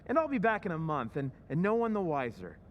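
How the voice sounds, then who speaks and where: A man's voice: on the high side, wildly swooping pitch, a little fast, slight background noise. A man playing a once-timid husband with a secret scheme, speaking in a sly, conspiratorial way in a radio drama recreation. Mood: giddy. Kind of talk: old-time radio drama acting.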